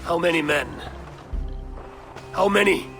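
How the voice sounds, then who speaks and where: An actor playing a steady male Viking warrior hero who speaks tersely and directly. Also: fairly high pitch, slow, soft background music.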